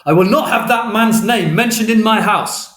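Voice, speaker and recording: A man voicing an exasperated middle-aged father who scolds and shouts. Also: medium pitch, normal speed, close to an everyday voice. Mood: furious. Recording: roomy echo.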